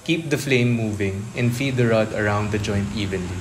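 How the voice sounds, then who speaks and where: A man's voice: fairly low pitch, moderate pace, noisy background. A man giving a scripted, step-by-step voice-over for a repair tutorial, explaining each stage evenly.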